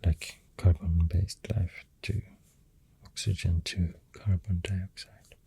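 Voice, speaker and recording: Very low, breathy Swedish-accented ASMR voice. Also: little pitch movement, slow pace. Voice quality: very soft, breathy, often dropping to a whisper. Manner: hesitant, thinking aloud. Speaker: ASMR video creator. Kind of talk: soft-spoken ASMR, rambling science facts. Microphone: close microphone.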